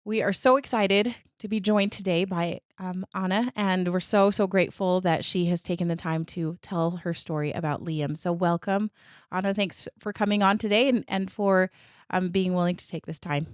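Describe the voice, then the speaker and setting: A woman's voice: lowish voice, steady tone, moderate pace, smooth, no echo, studio quality. A woman hosting a podcast interview, chatty and encouraging, with fillers and restarts. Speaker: podcast host.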